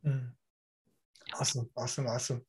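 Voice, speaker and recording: A man's voice: mid-range voice, level tone, a little fast. A man hosting a podcast interview. He is encouraging toward his guest and closes with upbeat calls to review and subscribe. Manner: encouraging, wraps up with upbeat promo talk. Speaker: podcast host.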